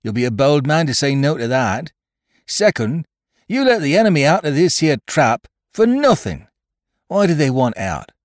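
A man puts on a mutinous pirate crewman for an adventure storybook. He is blunt, belligerent and accusing, his rustic sailor speech pushed up and loud with lively swings. The recording is dry. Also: a little high, moderate pace, dry recording, voice pushed up. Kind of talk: dramatised audiobook reading of a classic adventure story.

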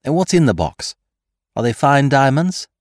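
A man voicing a triumphant, mocking gentleman thief in an audiobook, theatrical and commanding, firing off questions and taunts. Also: medium pitch, very animated, normal speed, no room sound, clean studio sound, pitched above the performer's own voice, lightly acted. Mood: brisk, scheming. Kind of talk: dramatised audiobook character voice.

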